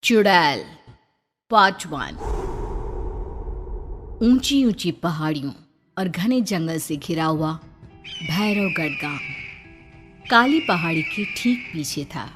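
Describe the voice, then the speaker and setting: A woman's voice: fairly low pitch, lively intonation, slow pace, long pauses, music in the background. A woman narrating a scripted horror story in a dramatic storytelling style.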